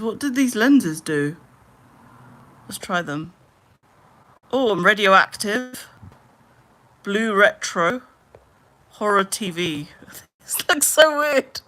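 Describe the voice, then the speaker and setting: A woman in her late twenties chatting casually on a live stream, rambling with lots of "like" and trailing into low mutters. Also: pushed and bright. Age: 20s. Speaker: livestreamer.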